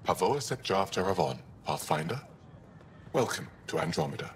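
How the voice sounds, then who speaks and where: An actor playing a grave alien ambassador in a video game, guarded but hopeful, speaking in a measured, formal way. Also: male voice, sits low, big pitch swings, creaky, heavily acted. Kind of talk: dramatic video game acting. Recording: roomy long reverb, faint background noise.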